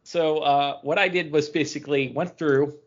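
A man hosting a casual trivia game among co-hosts, speaking in a halting way and stumbling over his words. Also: fairly high pitch, fairly even intonation, muffled recording. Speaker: podcast co-host running a trivia game. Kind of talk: casual banter, quiz hosting.

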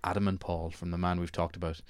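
Low, smooth-voiced Irish man. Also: level tone, fast talker, no echo, studio quality. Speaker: podcast host. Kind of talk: casual film chat.

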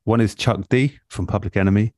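A man speaking as an interviewed radio producer in a relaxed, reflective way, thoughtful and measured, often pausing mid-phrase. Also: on the low side, dry recording, studio quality.

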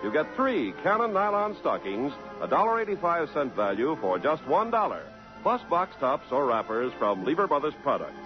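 Lively male vintage radio announcer. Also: fairly high pitch, moderate pace. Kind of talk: 1950s radio announcing, scripted credits. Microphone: radio studio microphone. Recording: dull vintage 1950s radio broadcast, music.

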